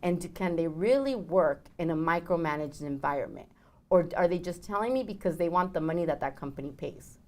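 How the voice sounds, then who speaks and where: A woman with a Mexican accent, a guest on a business talk show, who rolls her R's and speeds up when excited. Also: lowish voice, a little fast. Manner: animated and laughing. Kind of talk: casual interview chat.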